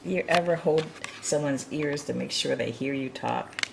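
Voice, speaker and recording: A woman's voice: rumbling low, unhurried, vocal fry, noisy background. A woman reading a picture book aloud to young children, with chatty, encouraging asides and chuckles over the pictures. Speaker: children's storytime reader.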